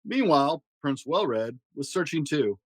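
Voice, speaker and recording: A man's voice: a little high, sing-song, unhurried, no room sound. Man reading a children's storybook, dry close sound. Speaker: podcast host reading a children's storybook aloud. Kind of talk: expressive storybook narration.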